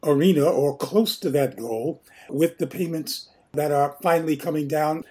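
Male co-host, deliberate interviewer, slightly higher voice. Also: dark tone, breathy edge. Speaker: radio co-host. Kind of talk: interview question.

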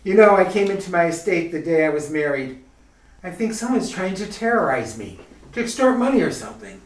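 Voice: mid-range voice, big pitch swings, brisk, natural voice. An actor playing a nervous young male groom in a 1940s-style radio play, with earnest, anxious pleading. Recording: echoey room, distant mic.

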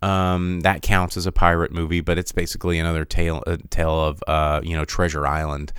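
Low-voiced guy rambling on his podcast, smooth tone, dry close mic, keeps restarting sentences. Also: flat delivery, brisk, a bit bright, no echo. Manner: rambling, digressive, many restarts; reads out viewer comments. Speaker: podcast host. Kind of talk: casual live-stream chat.

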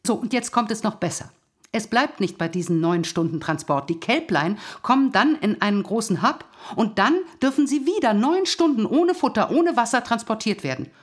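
Tough lady cop in an audio drama, kinda high voice, accusing and sarcastic, interrogation style. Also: lively intonation, normal speed. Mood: indignant, lecturing. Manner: confrontational, sarcastic, indignant. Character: stern accusing female police detective interrogating suspects.

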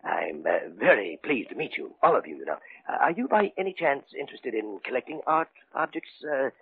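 An actor playing a courteous, ingratiating older retired art collector in old radio drama, mannered and full of little hesitations. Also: male voice, medium pitch, sing-song, a little fast, vocal fry. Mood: courteous, hesitant. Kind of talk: 1940s-50s radio drama acting. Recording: tinny, hissy old radio recording.

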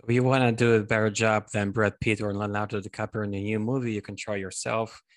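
A man's voice: lowish voice, level tone, fast. A man hosting a podcast, introducing and interviewing a guest. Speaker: podcast host.

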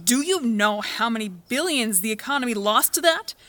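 A woman playing a sharp, combative young farm wife. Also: fairly high pitch, expressive, normal speed, dry recording. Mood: argumentative. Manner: sharp, argumentative. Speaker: farmer's wife, landowner's daughter.

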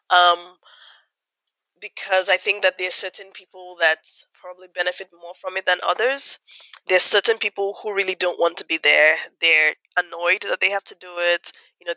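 A woman from Nigeria giving thoughtful interview answers as a guest, measured and reflective with fillers. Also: fairly low pitch, bright, forceful tone, no echo, dull audio. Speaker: guest economist.

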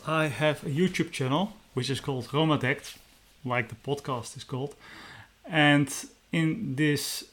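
Man, Dutch accent, podcast host, earnest and plain, hesitant fillers, mid-range pitch. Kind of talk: casual solo podcast talk.

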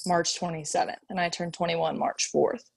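A woman's voice: on the low side, level tone, moderate pace, vocal fry, no echo. A woman in her twenties co-hosting a podcast in casual chat, blunt and teasing, laughing often. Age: 20s. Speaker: podcast co-host.

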